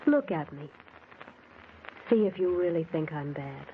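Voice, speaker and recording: An actress playing a mysterious, secretive woman who pleads in a measured, deliberate way in an old-time radio mystery drama. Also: sits low, lively intonation, phone-quality sound. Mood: entreating, calm. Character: mysterious veiled woman, softly entreating, secretive. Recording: noisy, band-limited old radio recording.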